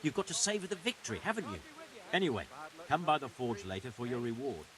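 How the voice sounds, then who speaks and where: A man's voice: mid-range voice, big pitch swings, fast, crowd noise, natural voice. An actor playing a boisterous, teasing medieval craftsman in a video game. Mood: gloating, amused. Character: boisterous gloating male craftsman in a medieval town. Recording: game dialogue under loud music.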